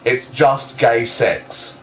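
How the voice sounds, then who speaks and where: A man's voice: mid-range voice, drawn-out words, rough recording. Man dryly teasing and reading aloud. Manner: dry teasing, swearing. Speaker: co-host reading fan fiction aloud. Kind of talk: casual banter and amateur read-aloud.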